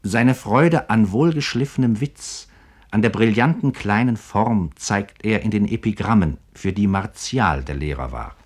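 Very deep-voiced formal male narrator. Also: lively intonation, unhurried, breathy edge. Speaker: narrator of a literary radio programme. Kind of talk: scripted documentary narration, 1950s German radio.

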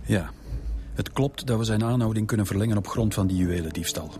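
Low-voiced formal Flemish police director played by a man. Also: warm tone, faint music behind, lightly acted. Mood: sober. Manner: formal, measured. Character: reserved, cautious male police director. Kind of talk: radio drama acting.

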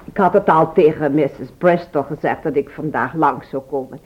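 A woman's voice: low voice, moderate pace, breathy edge. An actress playing a polite woman shop customer in a radio drama. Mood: polite, fussy. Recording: dull, noisy old radio recording.

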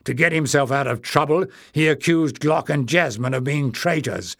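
A man voicing a big, gruff, quick-tempered man with a growling, commanding delivery in an audiobook. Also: a little high, a bit bright. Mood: contemptuous. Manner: thundering, snapping, commanding. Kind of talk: acted character voice in audiobook narration.

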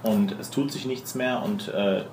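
A man narrating a tabletop roleplaying game as game master, improvising in a halting way. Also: fairly low pitch, fairly even intonation. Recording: echoey room with clear background noise.

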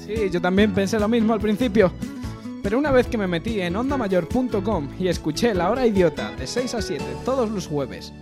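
Casting: male actor as solemn, reassuring mentor for parody series promo, high-pitched, over music. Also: lively intonation, fairly quick. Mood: earnest reassurance. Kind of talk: parody 1980s American series radio promo.

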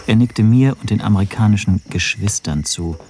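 A man plays a bitter, weary man in his thirties narrating a radio drama. His voice is fairly low and soft-edged, darkly mellow. A faint noise sits behind it. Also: normal speed, lightly acted. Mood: reflective. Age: 30s. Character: bitter, weary young stateless man recounting his arrest. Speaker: first-person narrator and lead.

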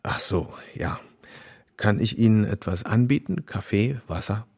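An actor playing a dry, tactful, world-weary police detective in an audio drama, with a measured, husky delivery. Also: male voice, lowish voice, expressive, a little mellow, full character voice. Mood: tactful, calm. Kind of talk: German audio drama acting.

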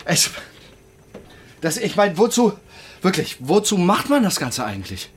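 An actor playing an obsessive, exacting and irritable man in a modern radio play, speaking haltingly and insistently and repeating himself. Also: sits high, wildly swooping pitch, slight background noise, full character voice. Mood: exasperated. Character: obsessive male protagonist staging re-enactments, exacting, irritable.

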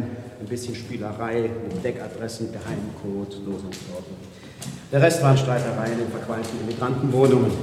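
Casting: male actor for amused, cynical bourgeois ex-radical, mid-pitched, expansive raconteur; 1970s radio play with slight background noise. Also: close to an everyday voice. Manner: sardonic, animated, expansive storyteller. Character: worldly, amused, cynical bourgeois ex-revolutionary man. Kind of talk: 1970s German radio drama acting.

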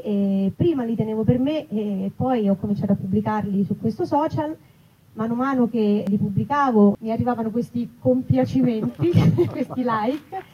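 A woman with a fairly high voice talks casually as a guest at a live event. She is chatty and self-deprecating, wandering off into asides. The sound is slightly dull, with faint noise. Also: mellow. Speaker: actress and author, interview guest. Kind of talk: casual live interview about own book. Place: live festival event in an olive grove. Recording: dull, noisy live event recording with clicks.

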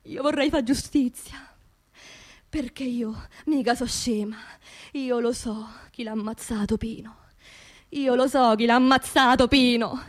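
A woman's voice: fairly high pitch, fairly even intonation, measured pace, natural voice. An actress playing an angry, grieving working-class Roman woman in a theatrical radio monologue, colloquial and bitter, demanding justice. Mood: bitter, hushed. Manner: impassioned, colloquial, bitter rhetorical questions.

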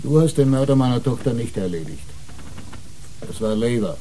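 An actor playing a bitter, menacing, ageing gang boss with a blunt, contemptuous way of talking in a radio play. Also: male voice, medium pitch, lively intonation, normal speed, dark tone, slight background noise, lightly acted. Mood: bitter, cold. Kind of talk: radio drama acting.

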